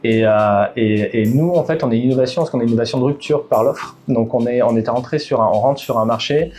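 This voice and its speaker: A man's voice: mid-range voice, fast, mellow. A middle-aged man from Bordeaux, a startup founder answering interview questions, hesitant, with many fillers and restarts. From Bordeaux, France.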